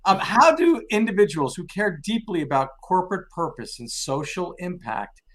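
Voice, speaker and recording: A man's voice: high-pitched, very animated, moderate pace. A man moderating a live question session as interviewer, with an informal, joking way of talking.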